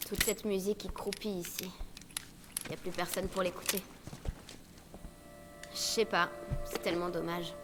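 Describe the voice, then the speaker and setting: A woman's voice: lowish voice, steady tone, normal speed, pauses often, in a large hall, music in the background, lightly acted. An actress voicing a curious, spirited teenage girl survivor in a video game. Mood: wistful. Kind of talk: dubbed video game dialogue. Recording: game audio with noise behind.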